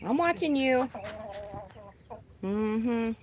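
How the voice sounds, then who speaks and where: A woman chatting casually on a live stream from her chicken coop outdoors, talking to her birds, with a calm, disinterested-sounding voice. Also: fairly high pitch, drawn-out words, crowd noise. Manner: chatty, laughs often, talks to her birds. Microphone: phone held in hand.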